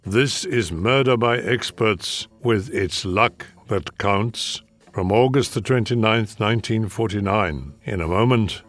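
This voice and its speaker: An older man hosting, announcing the next shows in a slow, measured way. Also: fairly low pitch, fairly bright tone, faint music behind. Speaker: host. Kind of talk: scripted announcing.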